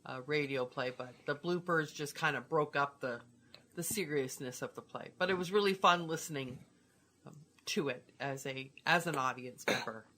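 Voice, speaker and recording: A woman's voice: low voice, normal speed, fairly bright tone. A woman co-hosting and co-producing, chatting casually as she introduces and comments. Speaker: co-host, co-producer. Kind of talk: casual hosted chat.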